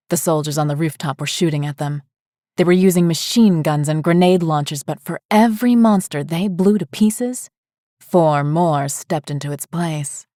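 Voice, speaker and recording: Low-voiced woman playing a desperate, bitter wife. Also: a little mellow, dry recording, clean studio sound. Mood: fearful, grim. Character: desperate, bitter wife narrating amid a zombie plague. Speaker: audio drama narrator. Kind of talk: first-person dramatic horror narration.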